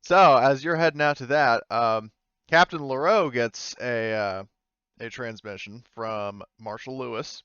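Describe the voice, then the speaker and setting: A man game master running a tabletop game, talking in a halting way with lots of fillers and chuckles, over a voice call mic. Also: mid-range voice, a bit bright, clear, resonant tone, dry recording. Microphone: online voice call mic. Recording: dull, lo-fi voice call audio.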